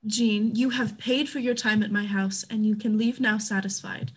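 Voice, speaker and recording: A woman playing a worldly, caring madam in a staged play reading, with a slightly husky voice. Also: mid-range voice, fairly even intonation, normal speed, close to an everyday voice. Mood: firm. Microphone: video call.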